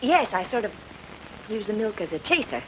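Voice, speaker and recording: An actress playing a melodramatic, complaining newlywed bride in an old-time radio drama. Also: female voice, mid-range voice, very animated, normal speed, close to an everyday voice. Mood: sheepish. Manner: theatrical, complaining. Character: melodramatic, petulant young bride on honeymoon. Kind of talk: old-time radio comedy-mystery acting. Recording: noisy old radio recording.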